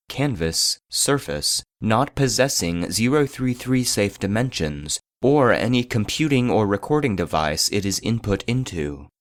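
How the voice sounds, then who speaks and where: An actor playing an impassive archive database voice, reading classified reports in a formal, measured, clinical way. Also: male voice, lowish voice, dry recording, clean studio sound. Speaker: narrator. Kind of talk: scripted narration, clinical report.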